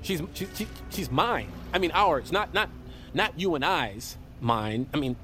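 An actor with an American accent playing a wry, sarcastic, easily flustered amateur bounty hunter in a comedy audio drama. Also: male voice, fairly high pitch, very animated, unhurried, music in the background. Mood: panicked. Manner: sarcastic, wry, foul-mouthed.

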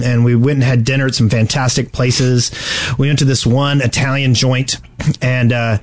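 A man's voice: mid-range voice, darkish tone. A man in his forties hosting a podcast in casual chat, joking and rambling through his stories. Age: 40s. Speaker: podcast host.

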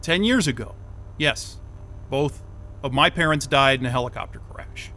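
An actor playing a flustered, cynical jobless tech worker in an audio drama, blurting and halting as he is questioned. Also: medium pitch, lively intonation, bright, forceful tone. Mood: subdued. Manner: exasperated, sweary, blurting and halting. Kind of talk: naturalistic audio drama acting.

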